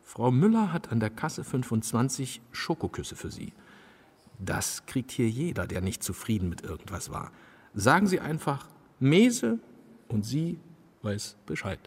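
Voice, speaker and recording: A man's voice: medium pitch, very animated, a little slow, heavily acted. An actor playing an odd, officious male museum attendant in a radio play, stating absurd things matter-of-factly. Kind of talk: German radio play dialogue. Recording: long hall reverb, clearly noisy background.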